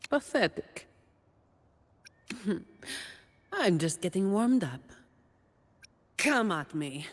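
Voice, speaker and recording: Tired but dutiful game guardian girl, dry and confident, slow talker, big reverb on it. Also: mid-range voice, big pitch swings, exaggerated voice. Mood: taunting, fierce. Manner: dry and composed, confident in battle. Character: dutiful, weary, wry young woman guardian with sword and shield. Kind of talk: video game character voice lines.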